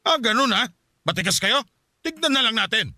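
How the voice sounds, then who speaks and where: A man's voice: very high voice, expressive, normal speed. An actor with a Filipino accent playing a domineering philanderer who bankrolls his mistresses, bossy and threatening, in a radio drama. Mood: menacing. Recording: music behind the voice.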